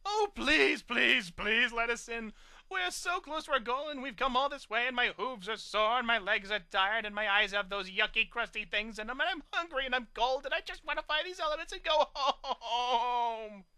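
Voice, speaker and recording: A man's voice: very high voice, lively intonation, normal speed, sharp tone, clean tone, no echo, voice pushed up, exaggerated voice. A man voicing a whiny, pleading little filly pony. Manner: whining, pleading.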